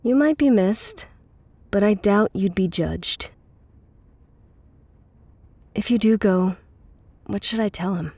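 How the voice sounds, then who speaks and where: An actress playing a weary, guarded middle-aged woman with a bitter past in a naturalistic, measured audio drama performance. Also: medium pitch, animated, a little mellow, no room sound. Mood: resigned, quiet.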